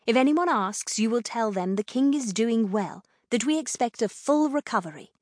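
A woman's voice: sits high, very animated, normal speed, no room sound, pitched above the performer's own voice. A woman reads as a regal, dogged royal woman from a fantasy audiobook. She speaks with stern command and protective urgency, stressing her points firmly. The recording is close and dry. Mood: stern, urgent. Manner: commanding, emphatic.

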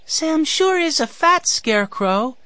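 A man voicing a sweet, gentle ten-year-old girl for a children's audiobook. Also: very high voice, very animated, slow pace, no room sound, pitched above the performer's own voice, heavily acted. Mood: admiring.

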